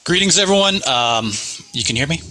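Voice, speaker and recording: A Canadian man chatting casually on an online call, polite and a bit halting. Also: a little high, animated, bright, forceful tone, a little hiss or hum, rough recording. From British Columbia, Canada. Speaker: guest, energy worker. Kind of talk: casual chat.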